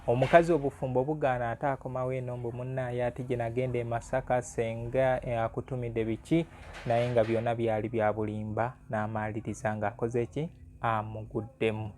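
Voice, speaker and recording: Ugandan male vlog host, relaxed dark tone, conversational, repeats for emphasis, slight noise behind. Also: medium pitch, a little fast. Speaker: music analyst and channel host. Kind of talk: informal music vlog presentation.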